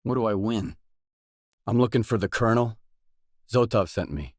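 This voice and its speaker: A man's voice: lowish voice, normal speed, darkish tone, no room sound, clean studio sound, lightly acted. A man acting a guarded, terse drifter in a video game, speaking in a matter-of-fact way. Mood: guarded, questioning. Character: guarded, terse male drifter searching a dangerous zone. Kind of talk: video game dialogue lines.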